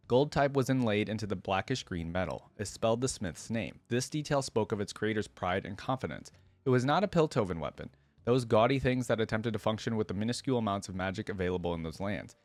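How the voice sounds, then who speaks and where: A man's voice: fairly low pitch, no echo. A man hosting a reaction video, informal in manner, moving between reading a story aloud and casual commentary.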